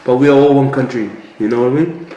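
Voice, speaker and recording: A young man joking around in a relaxed couple's vlog chat at home. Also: mid-range voice, quick pace, recorded from a distance. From New Zealand. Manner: laid-back, jokey, says bro a lot. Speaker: vlogger. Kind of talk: casual chat while eating. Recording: echoey room, a bit off-mic, faint hiss.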